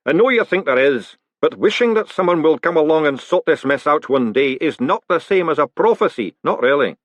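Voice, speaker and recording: A male reader plays an old lodge elder in a fantasy audiobook. His voice is raised in pitch and lively, rambling on in a colloquial, kindly but resigned way. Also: fairly quick, no echo. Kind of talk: audiobook character voice.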